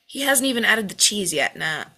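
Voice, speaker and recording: A woman's voice: medium pitch, very animated, moderate pace, bright, forceful tone, breathy edge, full character voice. A woman playing a volatile, foul-mouthed mother at a script table read, cutting and scolding. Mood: needling. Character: bitter, volatile, foul-mouthed mother berating her grown children.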